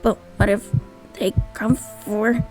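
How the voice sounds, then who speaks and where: A woman's voice: mid-range voice, little pitch movement, takes it slowly, darkish tone, faint music behind, lightly acted. A woman voicing a frightened, grieving little orphan boy who stammers tearfully, in a storybook-style manga dub.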